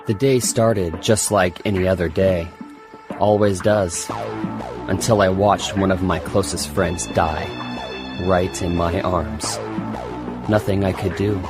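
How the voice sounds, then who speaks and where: A man's voice: low voice, fairly even intonation, slow pace. A man playing a grave, determined New York police forensic detective in a dramatic audiobook trailer. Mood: grave, haunted. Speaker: promo narrator. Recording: loud music under the voice.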